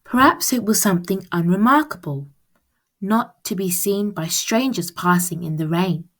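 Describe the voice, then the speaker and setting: A woman reading a children's picture book aloud as storyteller, with expressive, deliberate phrasing. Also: medium pitch.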